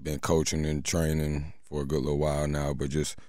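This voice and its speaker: A young man with a very deep, flat voice talks quickly and loosely, scattering small fillers between his thoughts. His tone is dark and mellow, recorded dry and close. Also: no room sound. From Atlanta. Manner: quick, relaxed, full of "um" and "like". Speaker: guest, football coach. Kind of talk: casual interview talk.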